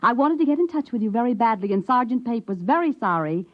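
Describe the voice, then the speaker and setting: An actress playing a coolly commanding scientist in a 1950s radio drama, with clipped, formally polite menace. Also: female voice, on the high side, expressive, fast talker, close to an everyday voice. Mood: cool, smug. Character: coolly commanding woman scientist holding a man at gunpoint. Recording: muffled, noisy 1950s radio recording.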